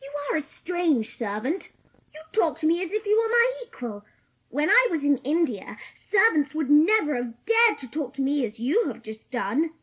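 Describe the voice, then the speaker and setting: A woman's voice: high voice, big pitch swings, normal speed, poor audio. A woman playing a spoilt, sullen little rich girl with an imperious, curt, formal manner in an audiobook drama. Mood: haughty.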